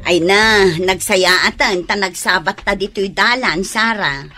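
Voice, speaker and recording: A woman's voice: mid-range voice, measured pace, over music, lightly acted. A woman with a Filipino accent playing an insistent gossip in an Ilocano radio drama. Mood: insistent, gossipy. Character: insistent female gossip reporting a scandal. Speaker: drama actor.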